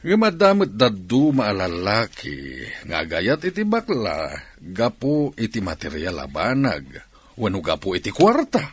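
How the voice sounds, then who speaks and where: A man's voice: lowish voice, unhurried. An actor playing a bantering male police chief in a radio drama. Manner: animated, laughing. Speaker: police boss. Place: studio.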